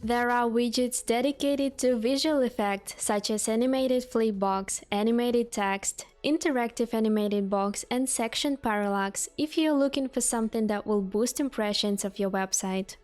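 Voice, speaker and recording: A woman reading a scripted software walkthrough in an instructional way, setting out lists item by item. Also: fairly high pitch, moderate pace, hardly any pauses, soft background music. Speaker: software tutorial narrator. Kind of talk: scripted product walkthrough narration.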